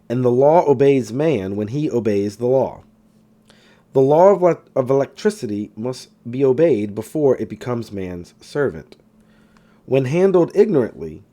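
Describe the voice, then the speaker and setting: Mellow, expressive male audiobook reader. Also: mid-range voice. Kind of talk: scripted narration, reading a spiritual self-help book aloud.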